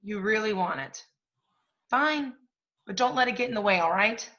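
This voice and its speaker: A woman acting as a blunt, cynical career woman with a forceful, bright voice. She is sardonic and swears freely, in stage play style. The recording is slightly dull and lo-fi. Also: mid-range voice, expressive, normal speed, natural voice. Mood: sardonic, exasperated. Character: Hope, brash hard-drinking cynical literary agent woman.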